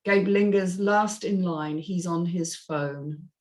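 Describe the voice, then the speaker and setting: A woman's voice: fairly low pitch, slow, darkish tone. A woman reading scripted screenplay narration and stage directions as the narrator of a drama table read.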